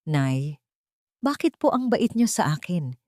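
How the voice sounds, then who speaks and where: A humble, insecure young daughter-in-law in a story, played by a woman narrator. She pleads in a trembling, self-deprecating way, her voice on the low side. The sound is dry, without room. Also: very animated, slow, darkish tone, dry recording. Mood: tearful, insecure.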